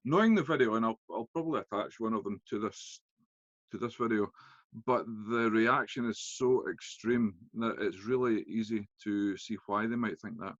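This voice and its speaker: A Scottish man hosts a casual interview chat and keeps stopping to restart his sentences. His voice sits at a middle pitch. The sound is dry and a little dull. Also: a bit bright, no room sound. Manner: halting, with many fillers and restarts. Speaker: interview host.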